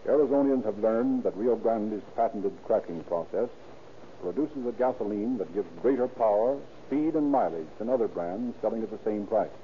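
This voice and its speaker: A man's voice: lowish voice, highs cut off. A man narrating and announcing in a formal, declamatory 1930s radio style. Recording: thin, hissy 1930s radio recording.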